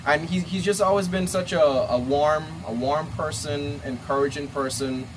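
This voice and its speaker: A man's voice: fairly high pitch, moderate pace. Guyanese man reminiscing, noisy background. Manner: warm reminiscing with fillers and restarts. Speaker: rock musician. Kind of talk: interview.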